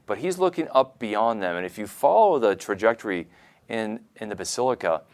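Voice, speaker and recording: A man with a fairly low voice explains religious ideas in a conversational podcast style. He sounds enthusiastic, and his phrasing is halting, with words often repeated as he builds a point. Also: expressive. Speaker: podcast co-host, religious scholar.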